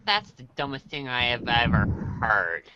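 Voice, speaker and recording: A man's voice: medium pitch, very animated, moderate pace, pushed and bright, highs cut off, a little hiss or hum. A man playing a skeptical, annoyed human boy in an amateur reader's theater, talking in a sullen, hesitant way. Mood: sarcastic.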